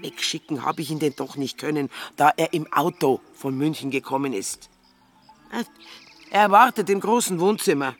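A woman's voice: low-pitched, moderate pace, faint music behind. An actress playing a homely, motherly country landlady in a radio drama, plain-spoken, confiding and worried. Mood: flustered, confiding.

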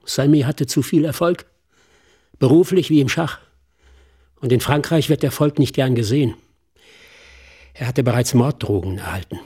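A self-assured stage hypnotist in a radio drama, acted by a man, musing and deliberate. The voice is mellow and husky at a medium pitch, with a wistful edge. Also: close to an everyday voice. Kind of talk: German radio drama acting.

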